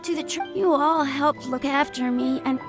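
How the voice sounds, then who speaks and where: A woman's voice: sits high, normal speed, music in the background, close to an everyday voice. A woman voicing a sweet young boy. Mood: grateful. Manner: eager, chatty. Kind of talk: game voice acting.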